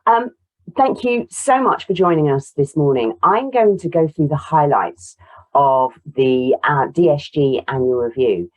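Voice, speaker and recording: A woman with a croaky voice presenting survey results in an online webinar, walking through slides over a video call. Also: sits low, expressive, unhurried, poor audio. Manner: apologetic, walks through slides.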